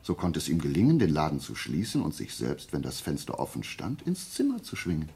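A man acting in a radio play as a shrewd detective, explaining his deductions deliberately and didactically in a low, gravelly, husky voice. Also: huge pitch swings, a little mellow, exaggerated voice. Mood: confident, explanatory. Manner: deliberate, didactic, checking in with short questions. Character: shrewd amateur detective methodically explaining a murder case.

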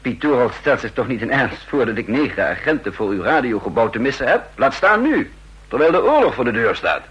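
A cool, shrewd male counterintelligence chief from a vintage radio play, dry and self-assured. with a slightly husky voice and a lively, moving pitch, steering the conversation with ironic ease. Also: fairly quick, lo-fi sound, heavily acted. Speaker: narrator and lead character. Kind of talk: vintage radio drama acting.

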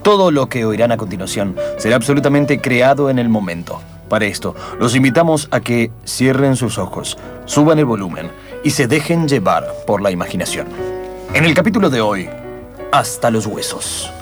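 A man's voice: mid-range voice, lively intonation, moderate pace, over music. A man with an Argentine accent narrating an improvised radio play in a dramatic storytelling style.

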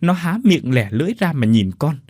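A man's voice: mid-range voice, sing-song, normal speed, darkish tone, breathy edge, dry recording, clean studio sound. A man voicing a terrified young adult son who recounts a haunting in a shaken, pleading voice.

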